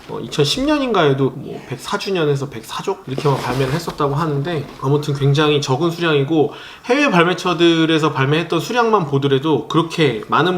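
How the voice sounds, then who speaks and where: A man calmly walking through a sneaker review, explaining details as he handles the box and shoes, softening his opinions as he goes. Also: on the high side, a little hiss or hum. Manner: calm, explanatory, hedging opinions; handling items while describing them. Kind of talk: product review vlog.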